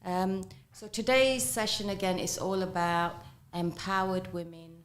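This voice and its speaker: A woman hosting a morning radio talk show. She speaks in a measured way, affirms her guest, and repeats the guest's points back. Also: medium pitch, fairly even intonation. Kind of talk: radio interview.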